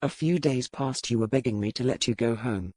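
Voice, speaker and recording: A man's voice: medium pitch, brisk, a little mellow, no room sound, natural voice. A man acting a wry pirate captain lover. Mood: wry, teasing. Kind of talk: audiobook character dialogue.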